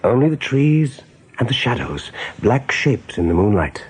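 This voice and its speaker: A man narrating a scripted radio drama as an all-knowing storyteller, with a husky voice and measured, deliberate delivery. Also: medium pitch, big pitch swings, low-quality recording. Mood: grave. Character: omniscient storyteller of a rabbit adventure.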